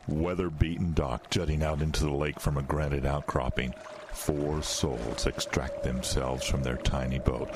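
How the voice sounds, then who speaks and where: An actor playing an ominous ghost-story narrator in a radio drama, slow and deliberate, over stormy water effects. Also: male voice, very deep voice, noisy background.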